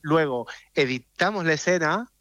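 A man's voice: on the high side, expressive, moderate pace, bright, forceful tone, no echo. Argentine man, dull lo-fi sound. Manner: thoughtful, explanatory, often ending on a checking "¿no?". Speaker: audio series creator and director, interview guest. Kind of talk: conversational interview answers.

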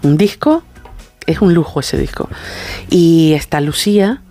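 A middle-aged woman, telling stories conversationally as an interview guest in an effusive, animated way and acting out the dialogue. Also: sits low, unhurried, soft background music. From Venezuela. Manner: effusive, acts out quoted dialogue in anecdotes. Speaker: guest, singer and vocal coach.

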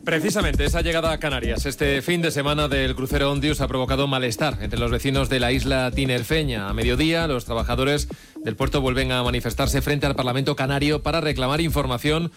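A man reading the news as a radio anchor, formal and measured. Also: mid-range voice, fairly even intonation, a bit bright, faint music behind.

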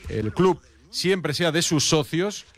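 A man with a Castilian Spanish accent giving a live sports news report in a measured, formal, explanatory way. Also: a little high, animated.

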